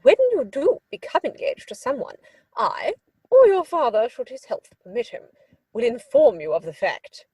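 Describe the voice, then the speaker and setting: A woman's voice: fairly high pitch, darkish tone, slightly rough, dry recording. A woman playing a haughty, disapproving middle-aged aristocratic mother in a theatrical period comedy, pompous and commanding.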